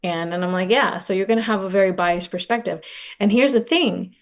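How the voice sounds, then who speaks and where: A woman's voice: mid-range voice, a little fast. A woman with a mid-range voice speaks as an interview guest. She is reflective and informal, thinking aloud and sprinkling in "like" as she retells conversations. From raised in Los Angeles, born in Oaxaca. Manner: reflective, informal, frequent "like" and retold dialogue. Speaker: podcast guest, nonprofit director and advocate.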